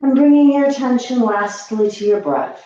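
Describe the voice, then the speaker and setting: A woman teaching yoga, guiding breath and posture gently and soothingly with long pauses. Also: a little high, sing-song, soft background music. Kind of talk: guided yoga and meditation instruction. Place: echoey indoor room. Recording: echoey room, slightly dull sound.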